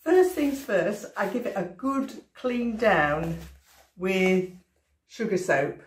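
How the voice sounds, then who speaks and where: Older woman tutor, slow and chatty, mid-pitched voice, webcam in a small room at home. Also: animated. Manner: unhurried, chatty, practical. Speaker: art tutor.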